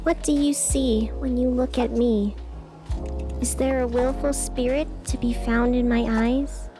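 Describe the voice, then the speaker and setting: A woman's voice: on the high side, fairly even intonation, unhurried, over music, close to an everyday voice. A woman playing a wistful, self-doubting night radio host in a scripted audio drama, speaking in an earnest, confiding way. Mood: yearning, poetic.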